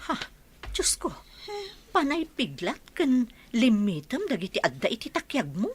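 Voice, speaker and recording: A woman's voice: a little high, big pitch swings, measured pace, husky, exaggerated voice. A woman playing a grumbling but caring, no-nonsense village aunt in a radio drama, blunt and scolding. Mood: stern concern.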